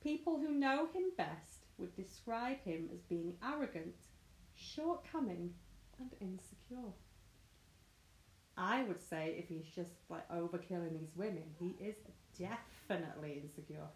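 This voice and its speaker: A woman's voice: on the low side, lively intonation, measured pace, a little mellow, a little hiss or hum. British woman, young, chatty host who laughs often, recorded in a roomy space. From Britain. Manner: giggly, chatty, self-mocking. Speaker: podcast host. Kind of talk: casual true crime chat. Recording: echoey room.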